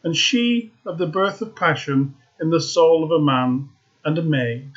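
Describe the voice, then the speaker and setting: Male fairy-tale reader, very unhurried, slightly high voice; dull lo-fi sound. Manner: slow, expressive, dramatic. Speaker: storyteller. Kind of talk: reading a fairy tale aloud.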